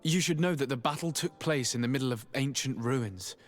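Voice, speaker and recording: A formal noble envoy voiced by a man for a fantasy game. His mid-range voice is measured and courteous, earnest when he persuades. Music plays softly behind him. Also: medium pitch, close to an everyday voice. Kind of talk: video game cutscene acting. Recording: background music under the voice.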